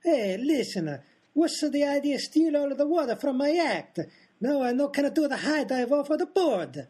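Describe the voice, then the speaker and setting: An actor voicing an indignant, boastful Italian high diver in a radio comedy, with an Italian accent and insistent broken-English complaining. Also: male voice, sing-song, moderate pace, a little mellow. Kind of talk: old-time radio comedy acting.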